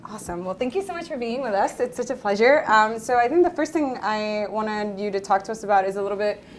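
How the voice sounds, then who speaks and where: A woman's voice: mid-range voice, expressive, quick pace, slight background noise. A woman philosophy professor moderating an onstage conversation, asking friendly, rambling questions full of "right" and "like". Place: university art gallery.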